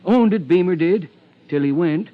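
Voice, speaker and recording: A fussy small-town American lawman acted by a man with a high-set voice for a male. He rambles in a folksy, hesitant way, like a 1950s radio character part, on a muffled old broadcast recording. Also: normal speed, close to an everyday voice. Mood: folksy, musing.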